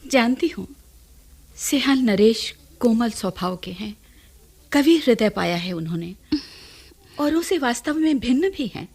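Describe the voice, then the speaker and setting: A woman playing an affectionate, teasing older courtesan matron in a Hindi radio play, with formal, deliberate diction. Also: a little high, animated, a little mellow, slightly rough, heavily acted. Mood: cautioning. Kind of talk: theatrical Hindi radio drama acting.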